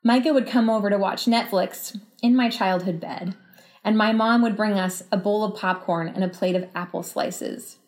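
Brief: American female writer, thirties, medium pitch, reflective and personal delivery. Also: fairly even intonation, moderate pace, darkish tone. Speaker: writer and podcast guest. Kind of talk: reading a personal essay aloud, then thoughtful interview answers.

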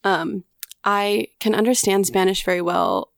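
A woman's voice: mid-range voice, measured pace, vocal fry, dry recording, studio quality. A woman chatting casually in a group, hesitant and full of "like" fillers. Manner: relaxed, hesitant, many "like" fillers.

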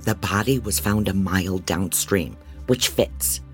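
A man's voice: low-pitched, measured pace, faint music behind. A man playing a frantic, disorganized private investigator who narrates an audio drama in a rambling, wry, self-doubting way. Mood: wry, brooding. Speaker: narrator and lead actor. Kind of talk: first-person dramatic audio fiction narration.

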